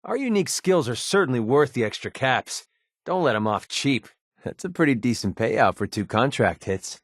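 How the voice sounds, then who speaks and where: A man's voice: mid-range voice, expressive, moderate pace, no echo, clean studio sound. An American man playing a cynical, wisecracking young mercenary in a video game, with a casual, sarcastic, slangy delivery. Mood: wry. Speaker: video game companion character.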